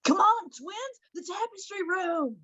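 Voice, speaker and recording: A woman playing the excitable brother of the heroine in a radio play. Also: extremely high pitch, a little slow, heavily acted. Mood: urgent, excited. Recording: roomy, slightly dull recording.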